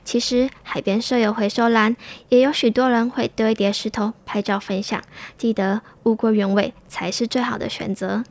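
A woman's voice: a little high, steady tone, normal speed, few pauses. A young woman with a Taiwanese Mandarin accent, narrating a scripted news story as a student reporter. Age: young adult.

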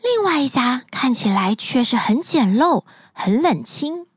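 A woman narrating a children's bedtime fairy tale in an expressive, sing-song storytelling manner, recorded close to the mic. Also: sits high, a little slow, husky, no echo.

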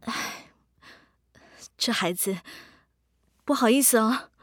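An actress playing a gentle, considerate middle-aged mother in a scripted audio drama. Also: a little high, slow pace, no echo, clean studio sound. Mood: apologetic. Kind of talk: scripted radio drama dialogue.

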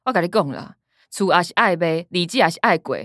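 A blunt, sarcastic younger woman of the household in a radio play. Her slightly low voice swings widely in pitch, with a Taiwanese accent and little patience. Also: animated, a little fast, fairly bright tone, dry recording, studio quality. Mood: bitter, fed up. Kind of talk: radio drama acting.

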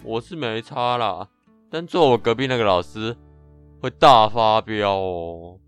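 A young man of high-school age with a Taiwanese Mandarin accent, chatting and joking as a podcast co-host, repeating words for emphasis. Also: a little slow, smooth, no room sound, soft background music. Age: teens to young adult, high school senior. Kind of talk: casual chat and storytelling.

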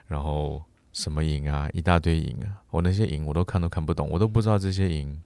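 A man's voice: rumbling low, steady tone, fast, mellow, clean tone, no echo, clean studio sound. A middle-aged man co-hosting a casual podcast, speaking frankly and reflectively as a father. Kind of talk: casual conversational podcast chat.

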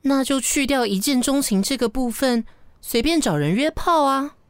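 An actress playing a breezy, blunt secretary with a playful, matter-of-fact manner in a radio drama. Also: female voice, sits high, expressive, normal speed, clear, resonant tone, no echo. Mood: teasing, unfazed. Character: breezy, blunt female secretary with teasing frankness.

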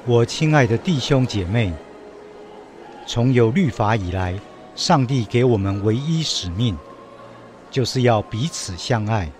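Radio drama Jesus, played by a man: gentle, earnest, preacherly, medium register. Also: medium pitch, animated, unhurried, faint music behind, lightly acted. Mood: solemn, tender. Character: gentle, solemn Jesus teaching his disciples. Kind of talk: religious radio drama acting.

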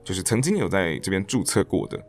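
A man's voice: low voice, very animated, fast talker, faint music behind. A man from Taiwan with a Taiwanese Mandarin accent, a podcast guest telling stories in a casual, reflective way. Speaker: interview guest, stage director and actor.

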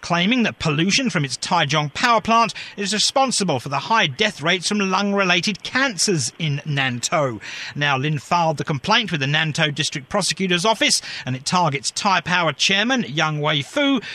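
A man hosting a news talk show, reading out the news in a brisk, scripted way before handing over to a co-host. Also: sits high, bright, forceful tone.

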